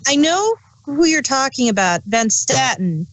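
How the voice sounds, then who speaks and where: A woman's voice: high-pitched, big pitch swings, measured pace. A woman co-hosting an unscripted review, talking in an informal, bantering way. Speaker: podcast co-host. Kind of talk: casual unscripted review chat.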